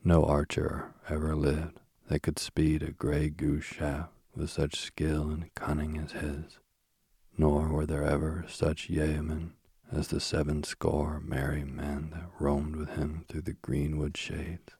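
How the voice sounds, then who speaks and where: A man hosting a sleep podcast and reading a bedtime story softly, slowly and soothingly, while he is losing his voice. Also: very deep voice, completely flat delivery, husky, no room sound, studio quality. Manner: slow, gentle and soothing. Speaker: sleep podcast host and reader. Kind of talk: soft bedtime story narration. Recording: faint music behind.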